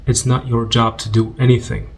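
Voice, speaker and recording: Man guiding a meditation, mid-pitched voice. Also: little pitch movement. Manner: unhurried, informal, gently instructing with pauses. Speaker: meditation guide. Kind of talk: guided meditation, conversational spiritual talk.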